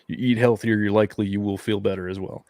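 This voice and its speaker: A man's voice: fairly low pitch, fairly even intonation, darkish tone, dry recording. A man hosting a veterans' podcast, chatting casually with lots of fillers. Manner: fast, loose talker with many fillers. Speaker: podcast host. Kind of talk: casual interview chat.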